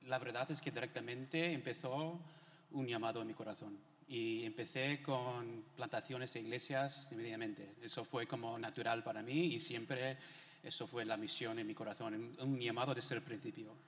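A man's voice: mid-range voice, fairly even intonation, normal speed, a bit bright. A middle-aged man from the United States, a missionary guest giving his testimony in an informal, conversational way. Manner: informal, peppers talk with Spain-style filler words. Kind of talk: conversational testimony in a church interview. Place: large indoor church hall. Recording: echoey hall with long reverb.

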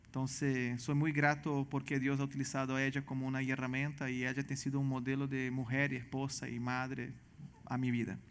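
A man from Venezuela with a Brazilian Portuguese accent, earnestly telling his testimony as a missionary guest, halting and restarting often. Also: medium pitch, monotone, smooth. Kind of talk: personal testimony in church interview.

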